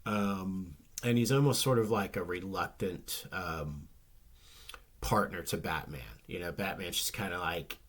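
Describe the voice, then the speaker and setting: Guy with a lowish voice, bit nasally and rough after the flu, rambly comic book chat. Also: unhurried. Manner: relaxed and rambling, many ums and restarts. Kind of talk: casual chat.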